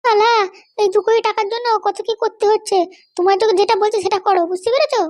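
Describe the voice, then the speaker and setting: A middle-aged Bengali wife with an extremely high, childlike cartoon voice. She talks quickly and bossily, nagging and commanding, always suspicious and scheming. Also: fairly even intonation, a little mellow. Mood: impatient, scheming. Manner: nagging, commanding, keeps demanding to be understood. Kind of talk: animated comedy cartoon dialogue.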